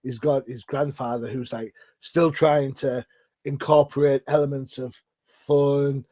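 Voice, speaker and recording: A man's voice: medium pitch, steady tone, a little slow, warm tone, dry recording. A middle-aged man from Britain hosting an interview, chatty and self-deprecating, with rambling questions. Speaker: podcast host. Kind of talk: casual interview chat.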